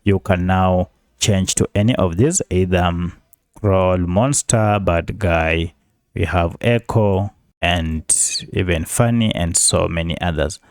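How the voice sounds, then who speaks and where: A man's voice: low-pitched, slow, clear, resonant tone, dry recording, clean studio sound. A man hosting an app tutorial, giving polite step-by-step instructions. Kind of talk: screen-recording tutorial narration.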